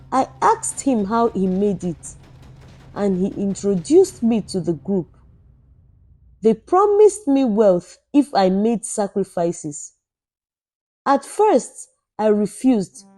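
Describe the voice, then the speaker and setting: A woman's voice: medium pitch, warm tone. Woman narrator voicing a desperate, remorseful young male barber. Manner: pleading, anguished.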